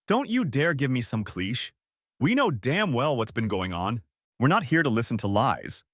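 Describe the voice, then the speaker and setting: A man's voice: mid-range voice, very animated, a little fast, fairly bright tone, dry recording, clean studio sound. A man voicing a furious betrayed wife in a story, biting and indignant. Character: furious betrayed wife, sharp-tongued and scornful.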